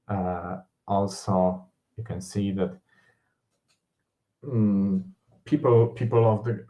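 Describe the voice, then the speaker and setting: Slow, hesitant man presenting. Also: low voice, darkish tone. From Poland. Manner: hesitant, frequent uh and mm fillers. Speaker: game artist presenting. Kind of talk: conference presentation.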